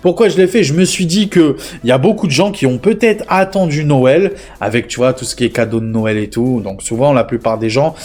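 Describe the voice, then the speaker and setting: A young man hosts a relaxed video about tech bargains and free games. He rambles and circles back on his words with a steady, everyday pitch, chatting straight to his viewers. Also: fairly quick, hardly any pauses. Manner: chatty, rambling, lots of fillers and repeats. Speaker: tech deals YouTuber. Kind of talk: casual deal roundup vlog.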